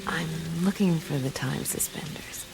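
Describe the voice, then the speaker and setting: A woman's voice: deep voice, a little slow, vocal fry, background noise. An actress playing a tough, wry woman private detective in a radio play, speaking in an offhand, slangy way. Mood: guarded, matter-of-fact.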